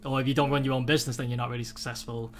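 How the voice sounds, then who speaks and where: A man's voice: medium pitch, little pitch movement, very fast. A young man with a British accent, chatting casually on a podcast over a video call from home, full of fillers and restarts. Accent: British English. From United Kingdom. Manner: rambling, many fillers and restarts. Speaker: podcast host. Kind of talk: casual chat. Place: home room, indoors.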